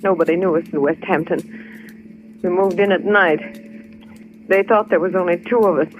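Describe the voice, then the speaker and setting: An actress playing a guilty nurse who confesses in a subdued, halting way in an old radio drama. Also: female voice, fairly low pitch, steady tone, narrow, tinny audio, natural voice. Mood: subdued confession. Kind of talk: 1940s radio acting. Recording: slightly noisy old radio recording.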